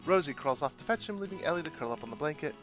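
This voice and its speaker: A man's voice: on the high side, animated, rapid-fire. A man streaming a game, chatting casually and reading story text aloud in a self-deprecating, chatty way. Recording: background music under voice.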